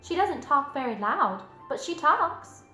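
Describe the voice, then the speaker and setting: A woman voicing an eager, imaginative, stubborn young farm girl in a storybook, earnest and excitable. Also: high-pitched, unhurried, pitched above the performer's own voice, exaggerated voice. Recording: roomy echo, music underneath.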